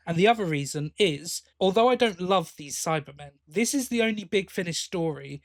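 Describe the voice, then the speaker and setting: A man's voice: high voice, expressive, fairly quick, no room sound. A man co-hosting a podcast, talking in a casual, chatty and enthusiastic way. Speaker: podcast co-host.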